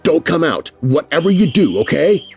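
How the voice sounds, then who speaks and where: Man playing an anxious, earnest boy, animated. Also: on the high side, normal speed, vocal fry, over music, voice pushed up. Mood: urgent, protective. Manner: earnest, urgent. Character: anxious, protective young boy with a pet.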